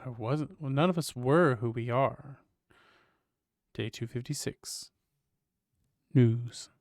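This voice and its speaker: A man's voice: mid-range voice, slow pace, darkish tone, no echo. A man live streaming a video game speedrun, chatting and reading on-screen text aloud. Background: video game music.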